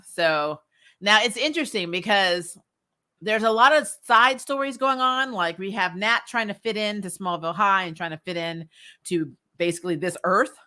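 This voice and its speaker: A woman giving a casual, slangy episode review as a host, animated and opinionated in her delivery. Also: medium pitch, normal speed. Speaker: host of a TV recap show.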